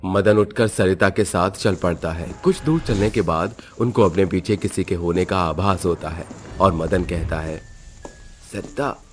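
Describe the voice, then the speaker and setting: Low-voiced man narrating a horror story. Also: normal speed, a little hiss or hum.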